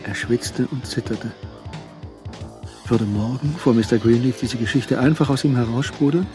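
Male narrator, played by an actor, mid pitch, anxious inner monologue, radio crime play with music. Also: normal speed, close to an everyday voice. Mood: tense, agitated.

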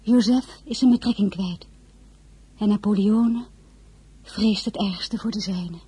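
A woman's voice: mid-range voice, a little slow, mellow, breathy edge. A woman playing an earnest young merchant's daughter in a radio drama, who also narrates her story. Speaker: narrator and lead character.

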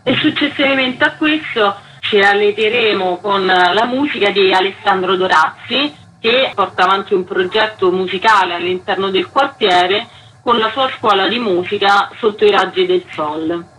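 Woman, bright forward voice, medium pitch, conversational interview guest, explanatory. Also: normal speed, slight background noise, rough recording. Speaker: festival curator, radio guest.

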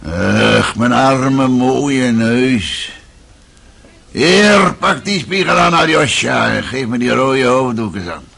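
An actor playing an aging, miserly, lecherous Russian father in a radio drama, self-pitying and rambling, with a gravelly, husky voice. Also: medium pitch, slow pace, fairly bright tone, heavily acted. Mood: querulous, wheedling. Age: older. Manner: rambling, muttering, with sighs and grunts.

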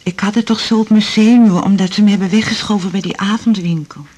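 A woman's voice: mid-range voice, fairly even intonation, quick pace, background noise, close to an everyday voice. A woman acting in a radio drama as a naive, chatty patient who rambles and complains matter-of-factly. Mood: chatty, complaining.

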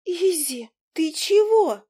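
A tiny, nosy fly girl who chatters in a shrill, squeaky voice. The fly is excitable and gossipy, and her voice sits far above the reader's own low register. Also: slow pace, exaggerated voice. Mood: excited. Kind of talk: audiobook character voice.

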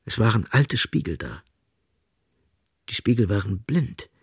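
A man's voice: lowish voice, big pitch swings, a little slow, husky, no echo. A man narrating a literary radio play in a studio. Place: dry, close studio.

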